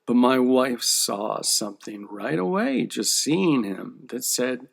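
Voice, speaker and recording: A man's voice: mid-range voice, lively intonation, takes it slowly, creaky. A man who draws comic books, talking in a casual, rambling monologue that often checks in with "okay?". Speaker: comic book artist.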